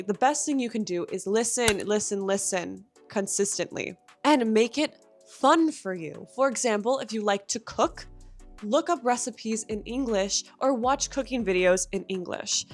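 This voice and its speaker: A young woman who teaches English speaks straight to her learners in an encouraging, direct way. Her voice sits a little high. Soft music plays quietly under her. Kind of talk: scripted language-learning lesson.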